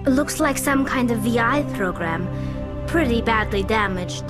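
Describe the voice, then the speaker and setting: Earnest alien scientist, slightly high, explains things; music underneath. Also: female voice, animated, measured pace. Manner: thoughtful, explanatory. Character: young alien female scientist, earnest and analytical. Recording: game audio with strong music.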